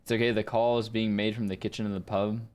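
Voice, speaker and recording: A man's voice: lowish voice, flat delivery, quick pace, a little mellow, smooth. A man talking casually and informally, with some swearing, as a voice actor on a livestream. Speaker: streaming voice actor.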